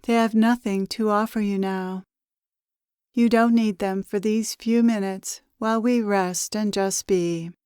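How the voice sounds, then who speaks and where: A woman leading a guided meditation as a spiritual teacher, speaking in a soothing, measured and gently instructive manner with pauses. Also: mid-range voice, fairly even intonation, smooth, no room sound, clean studio sound.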